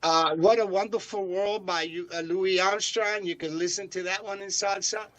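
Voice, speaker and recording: An older singer from Chicago answering interview questions in a rambling way, with many fillers and restarts. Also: male voice, sits high, steady tone, normal speed, fairly bright tone. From Chicago, United States. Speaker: singer guest.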